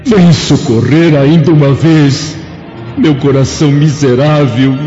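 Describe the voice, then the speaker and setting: An actor playing a proud, grieving Roman senator in a solemn, declamatory radio drama style. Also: male voice, on the high side, a little slow, warm tone, close to an everyday voice. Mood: anguished, pleading. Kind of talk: theatrical radio drama acting. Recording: dull lo-fi radio drama, music behind.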